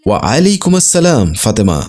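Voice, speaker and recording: A man plays a gentle father in a children's cartoon. He instructs patiently, with very animated rises and falls in his intonation. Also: mid-range voice, a little fast. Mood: patient, instructive. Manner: patient, didactic. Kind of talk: scripted children's cartoon dubbing.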